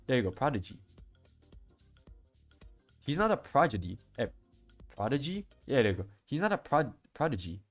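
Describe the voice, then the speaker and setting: A man streaming to a chat, reading game dialogue aloud and commenting in a casual, rambling way, often repeating himself and stumbling. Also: mid-range voice. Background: background music.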